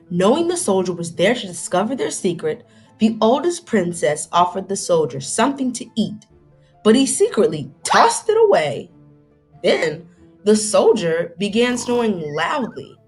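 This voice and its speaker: A woman's voice: mid-range voice, breathy edge. A woman reading aloud to children like a teacher, dramatic and inquisitive, stopping to put questions to young listeners.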